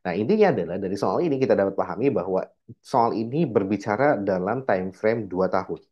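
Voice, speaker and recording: A man gives an informal recorded lecture in a fairly low voice. He explains step by step, posing rhetorical questions and answering them himself, with frequent check-in tags. The sound is a little muffled and lo-fi. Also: animated, brisk. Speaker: accounting lecturer.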